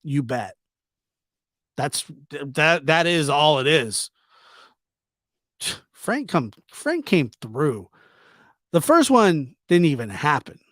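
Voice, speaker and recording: Casual male livestreamer, slightly high-pitched, dry sound. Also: very animated, takes it slowly, long pauses, a bit bright, dry recording. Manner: informal, teasing, repeats himself. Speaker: livestream host. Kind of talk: casual live chat commentary, reading and answering viewer comments.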